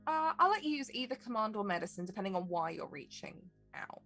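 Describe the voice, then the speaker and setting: A woman's voice: mid-range voice, very animated, fast talker, fairly bright tone, soft background music. A woman narrating a tabletop role-playing game as its game master.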